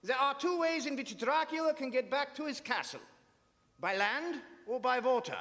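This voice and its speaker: A man's voice: very high voice, expressive, normal speed, heavily acted. A man playing a grave, commanding foreign professor who hunts vampires, theatrical and emphatic in a live radio drama. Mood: resolute. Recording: live stage in reverberant hall, loud music.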